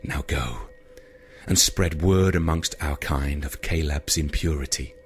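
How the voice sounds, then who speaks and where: A man voicing an ancient, domineering vampire with a cool, measured, menacing manner in an audiobook story. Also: very deep voice, a little hiss or hum, pitched below the performer's own voice. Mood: smug, purring. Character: cold, domineering ancient male vampire, scheming and seductive.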